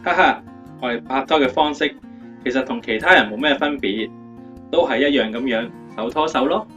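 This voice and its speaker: A man's voice: medium pitch, moderate pace, fairly bright tone, recorded from a distance, natural voice. Radio drama: male actor as upbeat, playful young lover and speaker, dull recording over guitar. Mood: playful, cheerful. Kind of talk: scripted radio drama interview. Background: guitar music.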